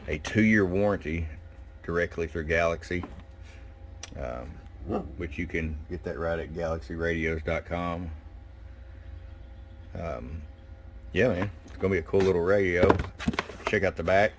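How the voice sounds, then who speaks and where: A man giving a casual, hands-on product review in a folksy, unhurried way with pauses. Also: sits low, steady tone. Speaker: shop owner presenting product. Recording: clear background noise and room ambience. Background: background noise.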